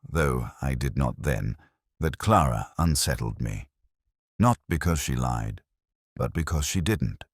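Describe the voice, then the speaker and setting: A man playing a brooding, erudite middle-aged police inspector, narrating a detective audio drama in a measured, literary, ruminative way. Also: extremely low pitch, no room sound, clean studio sound, heavily acted.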